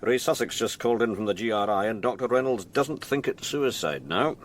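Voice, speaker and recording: An actor playing a weary senior detective in a radio drama, sardonic and understated, with quiet authority. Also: male voice, fairly low pitch, brisk, a little hiss or hum, natural voice. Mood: weary, thoughtful. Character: dry, weary senior police detective in charge.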